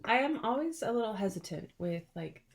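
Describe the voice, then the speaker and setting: A woman's voice: mid-range voice, sing-song, brisk, vocal fry, a little hiss or hum. A middle-aged woman hosting a casual chat, telling stories in a chatty, playful way and laughing often. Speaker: podcast host.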